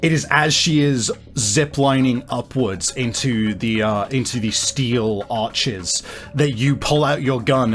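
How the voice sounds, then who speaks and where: A man improvising narration as game master of a tabletop game, thinking aloud haltingly as he describes the action and the rules. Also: medium pitch.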